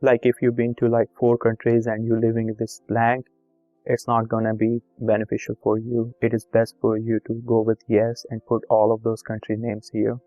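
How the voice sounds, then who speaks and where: A man whose first language is Urdu, calmly walking viewers through an online form step by step and reading each field aloud. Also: fairly low pitch, normal speed, no echo, highs cut off, soft background music. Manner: plain instructive, reads form fields aloud, repetitive. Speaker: video tutorial host. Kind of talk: screen-recorded step-by-step walkthrough.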